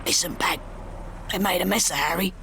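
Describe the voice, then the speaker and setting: Gravelly creaky male crook, acted by a woman, urgent, blunt, slangy, jumpy, audiobook thriller, faint noise behind. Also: on the low side, a little fast, pushed and bright. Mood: feverish. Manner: urgent, blunt, slangy, through clenched teeth. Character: rough, jumpy pockmarked male London gangster.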